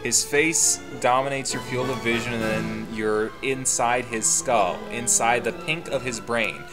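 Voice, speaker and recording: A man with an average-pitched voice narrates a story game. He reads with playful drama and slips into casual banter. Music plays clearly behind him. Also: measured pace. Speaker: gaming channel player reading the game aloud.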